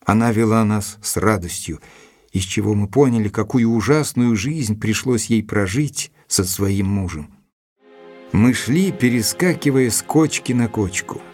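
An actor playing a sober male doctor who narrates a detective story in measured, literary phrasing for an audio drama. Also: on the low side, darkish tone, no echo. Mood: measured, grave. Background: music.

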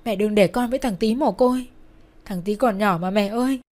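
A woman's voice: a little fast, darkish tone, close to an everyday voice. A woman voicing a desperate, guilt-stricken young woman in a tragic story, tearfully pleading. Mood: grief-stricken begging. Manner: pleading, tearful, raised pitch.

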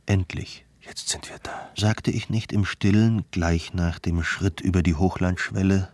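An actor playing a reflective, solitary writer, narrating a literary radio play monologue in a measured, contemplative way. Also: male voice, low voice, fairly even intonation, darkish tone. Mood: quietly elated, reflective. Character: reflective solitary male writer wandering and remembering.

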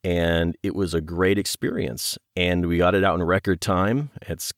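A man's voice: deep voice, little pitch movement, normal speed, dry recording, studio quality. A man speaking as an interview guest and audio producer, conversational, earnest and reflective in manner.

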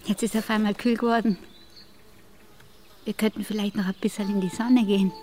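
An actress playing a gentle young village woman in a radio drama, speaking simply and directly. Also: mid-range voice, level tone, a little fast, a little hiss or hum, close to an everyday voice. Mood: tender.

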